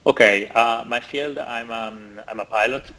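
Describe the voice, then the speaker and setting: A man's voice: medium pitch, steady tone, measured pace, a bit bright, a little hiss or hum, poor audio. A man in his forties from Italy with an Italian accent, chatting as an interview guest over a video call, hesitant with many fillers and restarts. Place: indoor room. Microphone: laptop or phone camera on video call.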